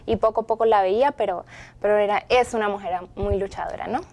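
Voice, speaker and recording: A woman's voice: mid-range voice, fairly even intonation, fast talker, warm tone. A Colombian woman in her twenties with an Arauca accent, a podcast guest who is cheerful and chatty and laughs often, opening with a prayer before talking casually. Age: 20s. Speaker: podcast guest, former seminary student. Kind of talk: opening prayer, then casual interview chat.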